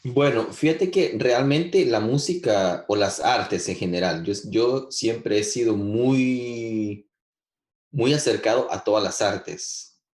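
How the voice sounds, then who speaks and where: A man from El Salvador, answering interview questions in a halting way, with many restarts and fillers. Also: medium pitch, a little mellow, roomy sound. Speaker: guest composer.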